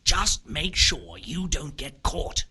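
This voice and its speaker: An actor playing a scheming, vengeful criminal who hands out shady jobs in a medieval video game, sly and scornful. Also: male voice, on the high side, sing-song, takes it slowly, very noisy.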